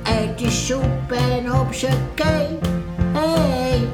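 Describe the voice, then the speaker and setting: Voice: high-pitched, extremely slow. A performer playing a merry, childlike party creature who sings in a children's musical audio drama. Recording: echoey, distant, loud guitar music.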